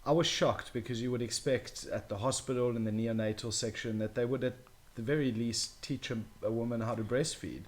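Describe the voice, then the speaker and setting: A man's voice: medium pitch, steady tone, brisk, few pauses. A young man, talking casually as a guest about his own experience. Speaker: interview guest, new father. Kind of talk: casual conversational talk.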